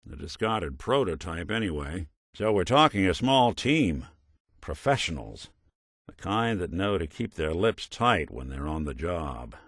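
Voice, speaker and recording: A man's voice: low voice, a bit bright, no echo, studio quality, exaggerated voice. A man playing a world-weary android private detective in a video game, tough but kind, with wry, clipped hardboiled phrasing. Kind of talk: noir detective game dialogue.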